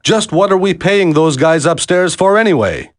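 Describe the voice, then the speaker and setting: A man's voice: fairly high pitch, expressive, normal speed, no room sound, clean studio sound, natural voice. A man voicing a smug, condescending villain in a video game, drawling his mocking taunts.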